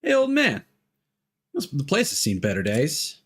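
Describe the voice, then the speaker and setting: A man's voice: a little high, wildly swooping pitch, unhurried, slightly rough, exaggerated voice. Cocky male musician for a video game: wry, teasing, familiar. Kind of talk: video game cutscene acting.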